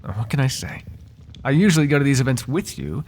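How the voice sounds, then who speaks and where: A man's voice: medium pitch, sing-song, fast, darkish tone, slight background noise, natural voice. A man playing a devoted, flirtatious butler in an intimate ASMR roleplay, speaking in formal, genteel phrases with playful teasing. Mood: curious, gossipy. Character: devoted, flirtatious, gossip-loving male butler to a noble.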